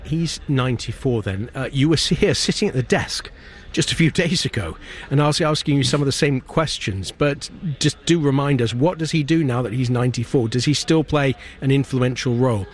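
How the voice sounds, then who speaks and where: A man's voice: mid-range voice, a little fast. A man presenting live radio news from a newsroom desk, conversational as he describes and asks questions. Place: small desk in a busy main newsroom. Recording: clear background noise. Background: newsroom ambience.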